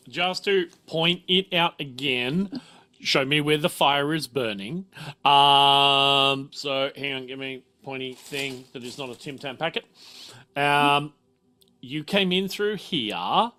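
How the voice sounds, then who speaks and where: A man's voice: mid-range voice, slow, pushed and bright. A man, informally explaining and narrating a tabletop game as game master.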